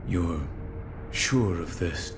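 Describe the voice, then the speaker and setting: A man playing a stoic, loyal shinobi in a video game, speaking in a terse, restrained deadpan. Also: low-pitched, drawn-out words, full character voice. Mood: grave, terse. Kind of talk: video game cutscene acting. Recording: clear background ambience noise.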